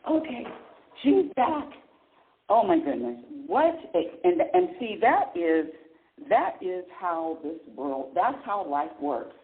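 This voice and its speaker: A woman's voice: on the low side, sing-song, slow. Chatty woman radio host on a tinny line. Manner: chatty, rambling, restarts often, laughs easily. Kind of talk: casual live call-in radio talk. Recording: echoey, tinny phone-line sound.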